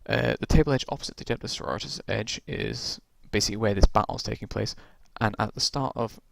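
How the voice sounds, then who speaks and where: A man casually walking through tabletop game rules, rambling with lots of ums and restarts, his voice gone croaky from talking so long. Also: on the low side, quick pace, no echo.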